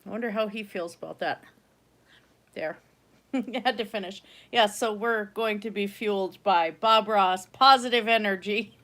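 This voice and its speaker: Slow-talking stuffy-nosed woman streamer. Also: mid-range voice, a bit bright. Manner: rambling, chatty, laughs often. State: stuffy from a cold. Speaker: livestream host. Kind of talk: casual livestream chat.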